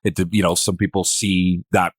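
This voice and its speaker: A man's voice: deep voice, flat delivery, moderate pace, slightly rough, dry recording, clean studio sound. A man posing questions in a casual podcast discussion, rambling and circling toward his point.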